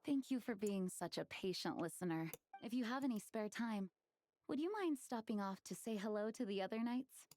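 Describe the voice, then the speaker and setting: A woman playing a composed, dutiful knight leader in a video game, speaking formally, courteously and in a measured way. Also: medium pitch, animated, a little mellow, lightly acted. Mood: gracious. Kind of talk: scripted game dialogue acting. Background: game music.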